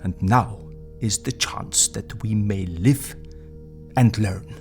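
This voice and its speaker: Grave old foreign professor, slow, gravelly. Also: male voice, fairly low pitch, faint music behind. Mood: tender, urgent. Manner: solemn, halting foreign-style phrasing. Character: grave, tender older foreign professor, Van Helsing. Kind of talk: dramatized audio fiction.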